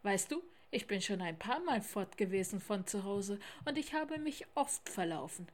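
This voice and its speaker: A woman's voice: medium pitch, expressive, normal speed, lightly acted. Storybook polar bear boy, brave and caring, plain reassuring delivery, read by a woman. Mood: encouraging. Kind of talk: children's storybook character voice.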